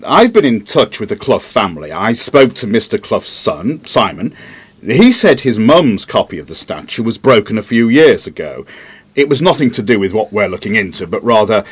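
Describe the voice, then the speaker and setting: Male actor as opinionated sidekick investigator; earnest, husky, very animated intonation. Audio drama. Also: fairly low pitch, moderate pace. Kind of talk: podcast-style audio drama dialogue.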